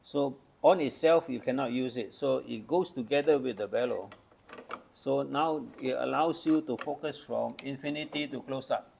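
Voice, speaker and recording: Gravelly older Malaysian-raised man. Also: mid-range voice, normal speed, darkish tone, slight background noise. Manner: patient, explains step by step with hands-on demos. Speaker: camera collector. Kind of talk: casual show-and-tell demonstration.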